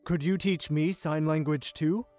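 A man's voice: a little high, fairly even intonation, measured pace, a little mellow, faint music behind, close to an everyday voice. A man playing an eager but awkward young merman prince, speaking hesitantly in an audio drama.

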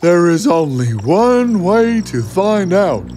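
An actor playing a big, dim-witted, childlike giant in an animated fairy tale, speaking simply and earnestly. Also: male voice, high-pitched, slow pace, heavily acted. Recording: faint music behind the voice.

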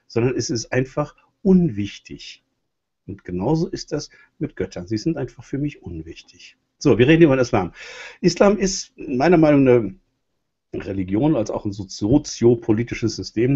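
A man's voice: mid-range voice, mellow, slightly rough. A man co-hosting an online talk show, speaking in a loose, chatty way with restarts. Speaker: co-host. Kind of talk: casual spoken commentary. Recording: dull, lo-fi sound.

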